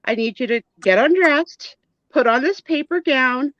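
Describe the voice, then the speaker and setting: A woman's voice: sits high, lively intonation, measured pace, a bit bright, dry recording, highs cut off, pitched above the performer's own voice. A woman voicing a chirpy, eager trainee nurse in a comic skit, with sing-song professional politeness. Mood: chirpy, businesslike. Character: chirpy female nurse, eager first-day medical student.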